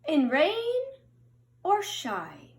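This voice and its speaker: A middle-aged woman reading a picture book aloud to young children in an expressive singsong way, recorded in a room at home. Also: sits high, slight background noise. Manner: slow, deliberate, singsong storytelling addressed to children. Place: indoors upstairs at home. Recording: roomy home recording with echo.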